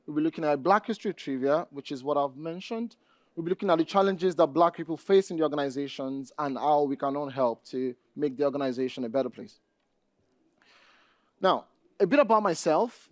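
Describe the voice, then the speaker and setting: A man with a Nigerian accent giving a conference presentation, speaking deliberately and addressing the audience directly. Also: on the high side, no room sound. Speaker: conference speaker, database administrator.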